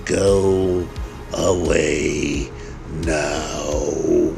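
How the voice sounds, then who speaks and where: A man's voice: very deep voice, little pitch movement, drawn-out words, creaky, music in the background, exaggerated voice. Casting: ancient female demon temptress played by a man, blunt, irritable, grumbling, for a comic horror story. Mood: hungover, irritable. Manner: sardonic, grumbling, matter-of-fact.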